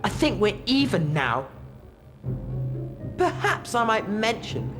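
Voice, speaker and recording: A woman voicing a smug, vindictive teenage schoolboy bully in a game, with taunting, drawn-out delivery. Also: medium pitch, very animated, music in the background.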